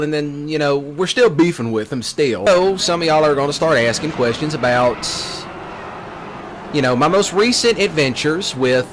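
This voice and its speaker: A man with a Southern US accent, a streamer rambling casually in a folksy, brash way with rural slang, often repeating himself. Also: fairly high pitch. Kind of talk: casual rambling rant over game play. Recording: noisy, with steady vehicle sounds behind.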